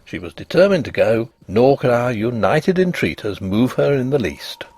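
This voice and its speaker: A man narrating a Victorian ghost story as scripted reading, with an expressive, literary delivery. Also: medium pitch, moderate pace, slightly rough, no echo.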